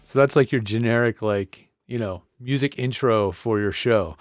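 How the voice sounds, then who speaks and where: A man's voice: medium pitch, normal speed, dry recording, soft background music. A man presenting a casual hands-on audio gear tutorial, thinking aloud in a conversational way on a close studio microphone. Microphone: close studio podcast microphone.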